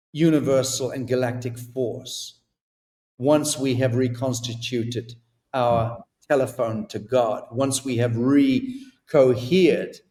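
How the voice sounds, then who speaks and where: A man's voice: medium pitch, a little mellow, echoey room. A man as a podcast guest, delivering spiritual ideas in an emphatic, declamatory way. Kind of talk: spiritual talk, interview answers.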